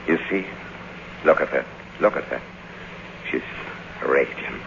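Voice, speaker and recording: An actor playing a solemn, devoted older butler in a radio drama, speaking in formal, measured short sentences. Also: male voice, rumbling low, vocal fry, a bit bright, exaggerated voice. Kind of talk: 1950s radio drama acting. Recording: muffled, hissy old radio broadcast, long reverb.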